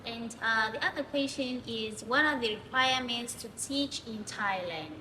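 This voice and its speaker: A woman's voice: a little high, moderate pace, sharp tone. A woman hosting her own video channel, chatting casually and reading questions to a guest. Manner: chatty, asks questions from a list. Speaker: YouTube host. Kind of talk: casual vlog interview. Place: living in Thailand. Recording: echoey room with long reverb and noise.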